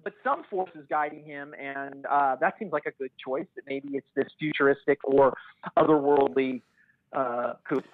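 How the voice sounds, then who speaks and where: A man speaking as a podcast guest in casual analysis, hesitantly thinking out loud as he goes. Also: medium pitch, normal speed, no echo, phone-quality sound.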